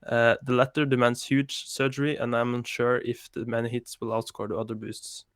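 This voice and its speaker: A man hosting a podcast, his delivery level and flat. The recording is dry and close, with no room sound, and he stays relaxed and easy. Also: medium pitch, fairly quick, no echo. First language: Norwegian. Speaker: podcast host. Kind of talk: casual podcast chat, reading listener questions.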